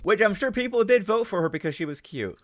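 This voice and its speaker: A man giving casual, animated reaction commentary, thinking aloud and prone to swearing. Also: sits high, quick pace.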